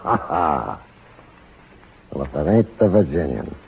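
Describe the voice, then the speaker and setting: An actor playing a menacing outlaw in an old radio western. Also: male voice, rumbling low, sing-song, slow pace, creaky, full character voice. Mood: gloating menace. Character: menacing male Western outlaw lying in ambush. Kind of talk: 1940s radio western acting. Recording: muffled, hissy old radio broadcast.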